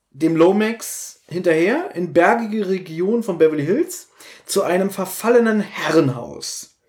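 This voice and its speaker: A man hosting a podcast, casually retelling and discussing a story, slipping into quoted dialogue. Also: sits high. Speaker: podcast host.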